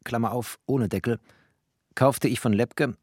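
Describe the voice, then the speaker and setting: A man reading literary prose as a narrator, with an expressive, sardonic and dramatic delivery. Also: mid-range voice, measured pace, noisy background.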